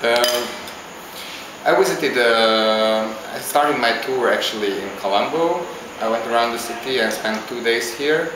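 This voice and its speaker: A man from Hungary, answering interview questions in a halting, casual way as he searches for words. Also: medium pitch, noisy background. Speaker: interviewee, visiting traveler. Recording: very echoey with clear background ambience.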